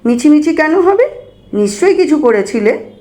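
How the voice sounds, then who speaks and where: A woman voicing a fussy, teasing househusband and father in an audio story, with a brisk, chiding, familiar manner. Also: high-pitched, sing-song, mellow, voice pushed up.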